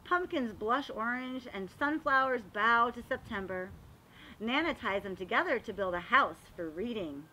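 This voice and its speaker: Bright, high-voiced woman reading to children. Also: moderate pace, a little hiss or hum, low-quality recording. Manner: animated, addressing young children with questions. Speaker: read-aloud storyteller for children. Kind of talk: expressive picture book read-aloud with explanatory asides.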